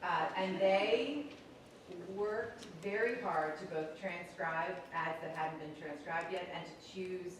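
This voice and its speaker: Low-voiced woman in a very echoey hall. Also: slow pace. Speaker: panelist explaining a theatre production. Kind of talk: informal spoken explanation at a panel. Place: large echoey room at a public event. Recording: echoey hall, distant mic, noisy.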